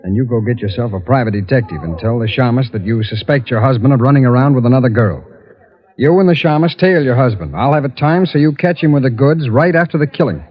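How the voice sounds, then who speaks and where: A man's voice: mid-range voice, expressive, fast, natural voice. Man playing a cold, scheming radio crook, dull sound. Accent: American. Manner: tough, cool, slangy. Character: cold, scheming, slangy male murder plotter and lover. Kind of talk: old-time radio crime acting. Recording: dull vintage radio recording, faint music.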